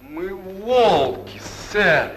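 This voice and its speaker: An actor voicing dim-witted male wolves acting as gruff, dutiful henchmen in an old cartoon. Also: sits high, animated, very slow, fairly bright tone, a little hiss or hum, full character voice, rough recording. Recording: old 1940s film soundtrack with music.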